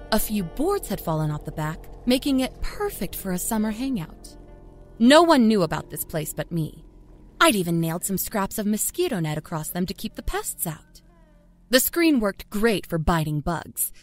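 A woman playing a candid, wry narrator who recalls a grim childhood killing in a dramatic first-person horror story. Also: lowish voice.

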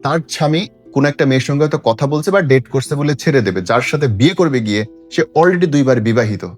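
A man's voice: mid-range voice, fast talker, a little mellow. A man with a Bangladeshi accent, a host giving opinionated relationship advice in an emphatic, preachy way with rhetorical questions. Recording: music playing behind the voice. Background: background music.

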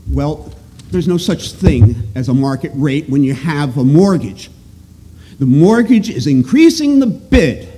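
Slow, insistent man at a public hearing. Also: high voice, darkish tone. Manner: opinionated, insistent, emphatic. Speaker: resident and economist giving public testimony. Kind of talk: halting off-the-cuff public speech. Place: large auditorium. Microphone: podium microphone. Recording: clear background noise in auditorium.

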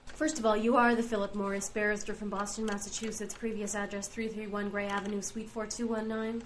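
A woman's voice: medium pitch, flat delivery, brisk, a little hiss or hum, natural voice. A woman playing a chatty, polite afterlife envoy in a comic fantasy audio drama, babbling and easily sidetracked. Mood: businesslike, flustered. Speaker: voice actor.

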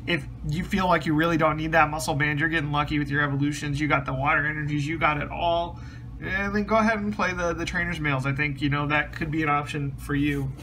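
A man's voice: fairly high pitch, fast, a little hiss or hum, rough recording. Rambling man explaining a card deck. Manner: relaxed, rambling, many ums and restarts. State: slightly losing his voice, clears throat. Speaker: card game deck reviewer. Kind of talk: casual deck profile explanation.

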